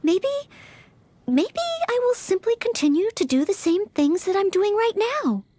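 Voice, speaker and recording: A woman's voice: extremely high pitch, big pitch swings, a little slow, warm tone, dry recording, heavily acted. A woman playing a humble, polite young maid who dreams of becoming a knight, in a video game. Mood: sincere, resolved. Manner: polite, eager, sincere.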